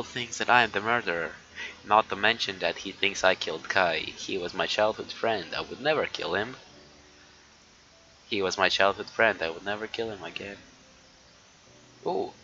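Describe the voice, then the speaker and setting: A man with a fairly low, bright, forward voice streams a game on a muffled microphone with faint noise behind him. He talks loosely to his chat, swears casually and reads on-screen text aloud. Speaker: live streamer. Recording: dull lo-fi mic with background noise.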